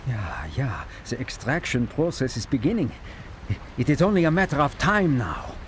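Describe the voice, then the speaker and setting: An actor playing an anxious, bookish Nazi scientist in a game cutscene, with a German accent and a pedantic, pleading manner. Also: male voice, mid-range voice, huge pitch swings, normal speed, slight background noise. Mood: flustered, impatient. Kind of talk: dramatic game cutscene acting.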